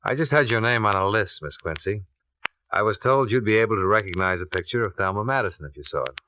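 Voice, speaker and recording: Crisp, bright-voiced radio detective. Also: male voice, fairly low pitch, expressive, fast, slight background noise. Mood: polite, probing. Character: cool, methodical male insurance investigator on a case. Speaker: lead actor and narrator. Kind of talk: 1950s radio detective drama acting. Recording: dull vintage radio recording, music.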